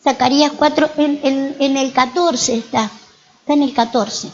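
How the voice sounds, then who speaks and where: A woman's voice: high-pitched, steady tone, moderate pace, darkish tone, muffled recording. A woman pastor with an Argentine accent, preaching a sermon in church and telling stories with frequent rhetorical questions to the congregation. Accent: Argentine Spanish.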